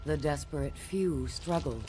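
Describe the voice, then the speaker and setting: A woman voicing a ruthless, commanding female warlord in a game cutscene, speaking slowly and gravely with a hushed menace. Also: low-pitched, loud music behind the voice. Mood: grim, menacing. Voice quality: slow, measured, grave delivery with hushed menace. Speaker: villain narrator. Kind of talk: scripted video game cutscene narration.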